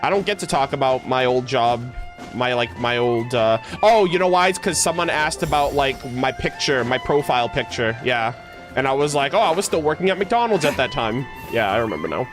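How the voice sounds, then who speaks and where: A man's voice: medium pitch, lively intonation, fairly quick. A man chatting casually as a livestreamer, reminiscing and answering viewers in a rambling, conversational way peppered with "like". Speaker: video game streamer. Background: background music.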